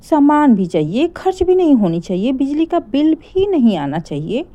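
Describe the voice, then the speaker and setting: Woman acting a taunting, animated village aunt. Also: sits high, moderate pace, voice pushed up. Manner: mocking, scolding, exclamatory. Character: sharp-tongued taunting older village aunt, neighbour.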